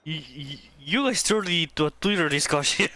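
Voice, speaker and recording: A man's voice: fairly high pitch, animated, fairly quick, bright, forceful tone. A man giving casual live reactions as a game streamer, playful and joking.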